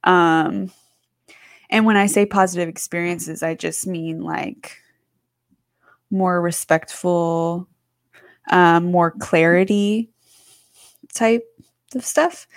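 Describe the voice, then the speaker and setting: A woman's voice: medium pitch, dry recording. Casual 20s woman podcast co-host, filler-heavy chat, dry close sound. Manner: chatty, lots of fillers, quick bursts.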